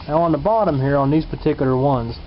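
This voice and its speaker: A man's voice: fairly high pitch, fast talker. A man talking casually and unscripted to viewers in a plain-spoken, folksy way, as a hobbyist showing his repair work. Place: indoors at a home workbench. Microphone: handheld camera's built-in mic. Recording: muffled lo-fi camcorder sound, clear hum.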